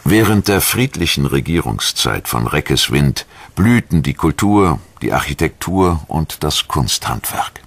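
A man reading scripted historical documentary narration in a measured, solemn and formal manner. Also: rumbling low, big pitch swings, fairly bright tone, no echo. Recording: music behind the voice. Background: background music.

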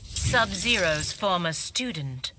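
A woman's voice: lowish voice, animated, takes it slowly, very noisy. A woman playing a confident, teasing military officer in a video game, casual and wry. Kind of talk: video game character dialogue.

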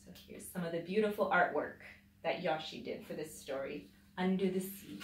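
A woman's voice: on the low side, moderate pace, slight background noise. A middle-aged woman reading a picture book aloud to children in a dramatic, emphatic way, drawing out words. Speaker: children's storytime host, teacher. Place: small indoor library room with tiled floor. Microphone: camera a few metres away. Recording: roomy echo, distant microphone.

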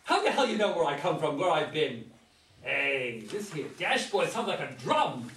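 A man playing a loud, excitable, folksy and menacing ex-convict hitchhiker in a staged play reading. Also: a little high, normal speed. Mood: wild, excited. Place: meeting room, around a table. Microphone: far camera. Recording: distant mic in echoey room.

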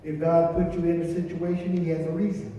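Older male pastor, mellow high voice, deliberate repeated phrases, church sermon delivery. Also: flat delivery. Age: older, 60s or 70s. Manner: deliberate, drawn-out phrases with repetition for emphasis. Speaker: pastor preaching. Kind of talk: church sermon with congregational call and response. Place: large church sanctuary, at a pulpit. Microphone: distant camera. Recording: echoey church hall from far off, faint noise.

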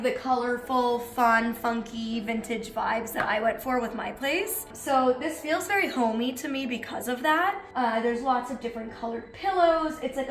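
A woman's voice: fairly high pitch, reverberant. A young woman with a slightly nasal voice, just over a cold, giving a gushing, chatty house tour. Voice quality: nasal from recent illness. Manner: bubbly, gushing, lots of "like". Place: bright home interior, indoors.

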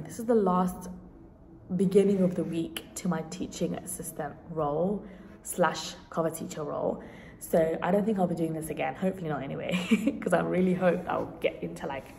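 Chatty young woman, gravelly. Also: low-pitched, a little mellow, slight background noise. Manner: rambling and chatty, with frequent "like" fillers. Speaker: vlogger. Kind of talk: casual vlog chat.

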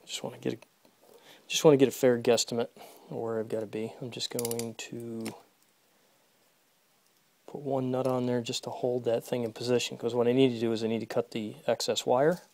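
A man's voice: mid-range voice, little pitch movement, normal speed, darkish tone, dry recording. A man giving casual running commentary while he fixes an electric fence in a horse barn, talking to himself and his horses as he works, recorded close on a handheld phone. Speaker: video creator demonstrating fence work. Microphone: phone camera held close, first-person view.

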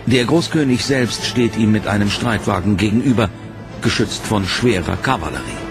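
Gravelly male documentary narrator over music. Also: on the low side, lively intonation, moderate pace.

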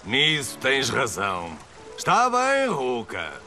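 A man's voice: mid-range voice, wildly swooping pitch, slow, sharp tone, faint music behind, full character voice. A man voicing a kindly, playful older grandfather playing sea captain in a children's cartoon, with a European Portuguese accent. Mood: warm, encouraging.

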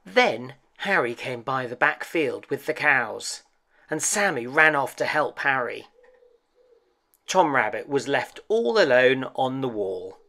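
A man narrating a children's storybook as host, with gentle, deliberate, expressive phrasing. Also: mid-range voice, creaky.

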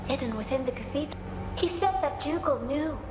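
A woman's voice: high-pitched, brisk, lightly acted. An actress playing an earnest ghostly girl spirit, a younger sister in a fantasy game. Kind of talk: dramatic fantasy game dialogue. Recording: long ethereal reverb, noisy ambience.

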